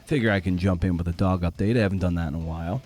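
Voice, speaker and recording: A man's voice: low voice, racing, darkish tone, faint music behind. A middle-aged man hosting a podcast, rambling casually with wry, self-deprecating, sometimes profane candor. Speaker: podcast host.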